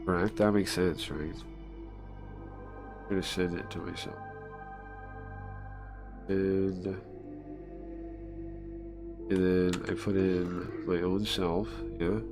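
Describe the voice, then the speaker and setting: A man's voice: low-pitched, fairly even intonation, slow pace, long pauses, music in the background. A man livestreaming casually, thinking aloud, muttering to himself and repeating phrases as he goes.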